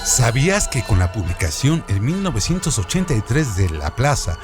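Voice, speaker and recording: A male presenter reads scripted literary trivia with a very animated, varied intonation. His delivery is didactic and built on rhetorical questions, over a faint bed of music. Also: mid-range voice, normal speed. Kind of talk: scripted educational narration.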